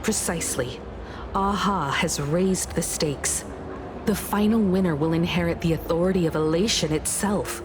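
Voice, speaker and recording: A woman voicing a composed, scholarly woman in an anime-style game, speaking with solemn, measured eloquence. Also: on the low side, background noise, natural voice. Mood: calm, serious. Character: composed, scholarly woman archivist, grave and analytical.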